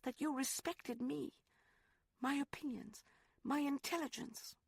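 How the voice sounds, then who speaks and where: A man voicing a proud, hurt young woman in an audiobook, pleading and sharp. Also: very high voice, steady tone, a little slow, creaky, no room sound, voice pushed up, exaggerated voice. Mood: wounded. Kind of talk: audiobook character voice.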